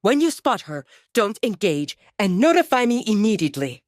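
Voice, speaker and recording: A woman's voice: medium pitch, sing-song, moderate pace, a little mellow, dry recording, pitched above the performer's own voice, close to an everyday voice. A commanding male werewolf leader read by a woman in an audio drama. He is urgent and frantic as he takes charge, and the recording is dry and close.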